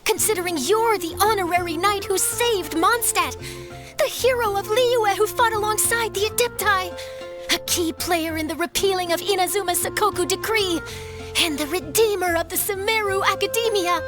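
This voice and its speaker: Perky, very high-pitched young reporter. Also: female voice, normal speed, music in the background. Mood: excited. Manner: perky, theatrical, salesy. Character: eager, pushy young female news reporter, bubbly and persuasive. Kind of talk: animated video game voice acting.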